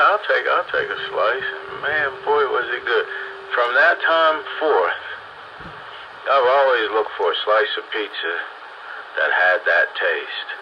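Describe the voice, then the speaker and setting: An American man in casual, folksy conversation, telling stories in colloquial phrases and often calling listeners "man.". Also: medium pitch. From city in the eastern US. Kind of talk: casual chat, storytelling. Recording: muffled radio link with loud static.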